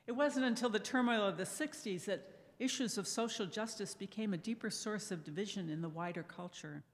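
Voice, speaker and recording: An older woman speaking softly and thoughtfully to a congregation, sharing memories in a measured way as a lay speaker. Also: on the low side. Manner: soft-spoken, measured, reminiscing. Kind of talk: reflective personal talk to a congregation. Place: church sanctuary. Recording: echoey church hall reverb.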